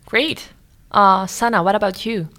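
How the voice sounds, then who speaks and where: A woman's voice: medium pitch, steady tone, unhurried, a bit bright. A woman acting as interviewer, moderating a podcast discussion and passing questions to guests.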